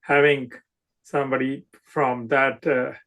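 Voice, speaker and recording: A man's voice: medium pitch, level tone, a little slow, fairly bright tone. A middle-aged man hosting a podcast, chatting casually with his guest and hesitating often with fillers and restarts. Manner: emphatic, scripted show intro. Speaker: podcast host. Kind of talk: casual interview chat.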